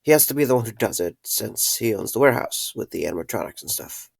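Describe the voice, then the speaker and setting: Male actor as an earnest, worn-out young man; hesitant, halting delivery, dry mic. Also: on the low side, animated, vocal fry, slightly rough, no room sound, exaggerated voice. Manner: hesitant, with filler words. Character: young man reopening a pizzeria, tired but earnest. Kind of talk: fan audio drama acting.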